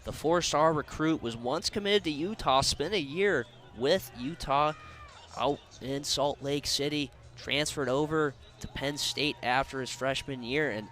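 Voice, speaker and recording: A man's voice: a little high, unhurried, a bit bright, faint music behind. A man giving live sports analysis as a color commentator.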